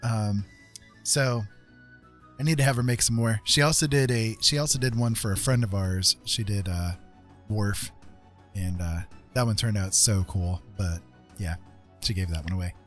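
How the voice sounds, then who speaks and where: A man's voice: fairly low pitch, normal speed. A man hosting a live art stream in a welcoming, chatty way, talking casually to viewers. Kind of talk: casual livestream chat. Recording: faint music behind.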